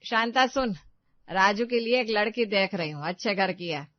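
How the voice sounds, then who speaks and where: A woman's voice: mid-range voice, animated, normal speed, no echo, muffled recording, lightly acted. A woman voicing a harsh, bossy older mother-in-law with a commanding manner in a family drama story. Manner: scolding, commanding.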